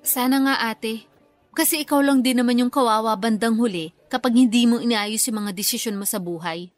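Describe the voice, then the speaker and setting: An actress plays a perceptive younger sister with a fairly high voice. She is blunt and reproachful yet protective, acting in radio drama style over faint music. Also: brisk, lightly acted. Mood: concerned. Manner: blunt, confrontational.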